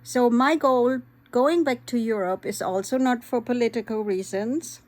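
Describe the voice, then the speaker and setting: A woman, talking candidly and earnestly to her vlog audience, pausing often with "um". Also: a little high, a little mellow. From Vojvodina, former Yugoslavia; raised in Germany. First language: Hungarian. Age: 50s. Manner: earnest, candid, frequent "um" pauses. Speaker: vlogger. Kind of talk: personal vlog talk.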